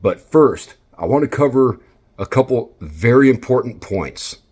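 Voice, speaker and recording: A man's voice: medium pitch, expressive, unhurried, a little mellow, breathy edge. A man giving instructional commentary, speaking directly and encouragingly as he coaches. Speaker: instructor and video host.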